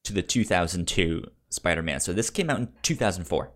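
A man's voice: sits low, animated, fairly quick. A man hosting a podcast in casual chat about movies, often stammering and repeating words as he gets into a sentence. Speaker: podcast host.

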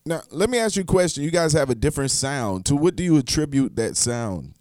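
Animated, lively male interviewer. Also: a little high, fairly quick, no echo, clean studio sound. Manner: animated, laughs along, short affirmations. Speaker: interviewer host. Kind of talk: casual interview chat.

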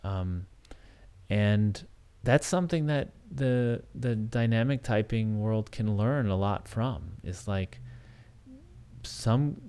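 A man's voice: on the low side, slow, dark tone, clean tone. A man hosting a solo podcast, talking things through in a thoughtful, hesitant way with many restarts and fillers. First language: English. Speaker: podcast host.

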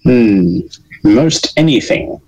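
A man's voice: lowish voice, animated, takes it slowly, darkish tone, rough recording. A male player at a tabletop game, dry and joking. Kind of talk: casual chat.

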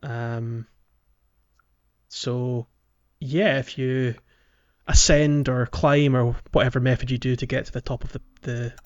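A man's voice: mid-range voice, fairly even intonation, a little slow, darkish tone, highs cut off. A man chatting casually as a gaming podcast host, walking through things in a hesitant, explanatory way.

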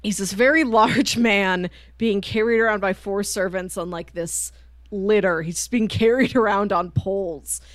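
Woman podcast cohost just chatting, chuckly storytelling, mid pitch, super dry sound no room. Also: a little slow, no room sound. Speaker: podcast co-host. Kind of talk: casual chat reviewing manga.

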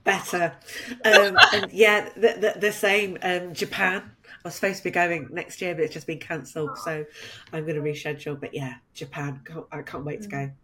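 Low-voiced woman with an English accent. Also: fairly even intonation, normal speed, noisy background. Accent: general English. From United Kingdom. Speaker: roundtable panelist. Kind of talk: casual group chat.

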